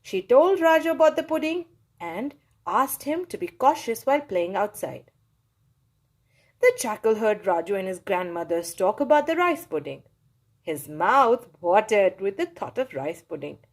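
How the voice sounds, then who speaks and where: A woman reading a children's story aloud for her channel, in a cheerful, expressive way. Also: mid-range voice, measured pace. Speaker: storybook reader, channel host.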